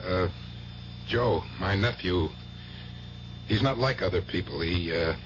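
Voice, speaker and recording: Low-voiced, plain-spoken protective uncle. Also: male voice. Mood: soothing, uneasy. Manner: plain, soothing, halting. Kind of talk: radio drama acting. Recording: dull, noisy old radio broadcast.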